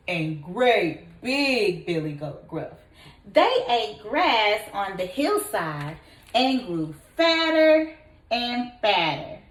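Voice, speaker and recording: Slow, animated woman reading to children. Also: medium pitch. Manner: expressive, deliberate, prompting listeners with questions. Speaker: kindergarten teacher. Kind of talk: read-aloud storytelling for young children. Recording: echoey room.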